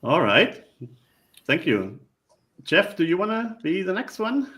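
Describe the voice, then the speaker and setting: A man's voice: high-pitched, big pitch swings, slow, bright, forceful tone. A man hosting a livestream panel over a video call, friendly and rambling, with many fillers. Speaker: livestream host.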